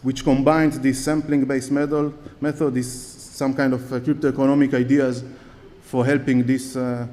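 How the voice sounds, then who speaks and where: Israeli-accented man with a mellow voice. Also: mid-range voice, little pitch movement, normal speed, hardly any pauses. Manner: hesitant, many fillers and restarts. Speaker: conference speaker. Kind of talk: conference talk with audience questions. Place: large conference hall on stage. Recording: long hall reverb.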